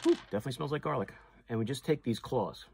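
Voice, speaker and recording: A man's voice: mid-range voice, fast talker, gravelly, noisy background. A man, hosting a fishing channel and chatting casually through a hands-on demonstration, full of fillers and restarts. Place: tackle shop or room with walls of packaged lures, indoors.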